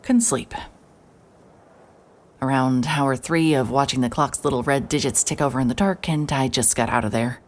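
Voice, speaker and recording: A woman's voice: a bass voice, moderate pace. A woman playing a hard-hearted, sleep-deprived private investigator, wry and terse, narrating a noir audio drama in the first person. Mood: weary, brooding. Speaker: narrator and lead character.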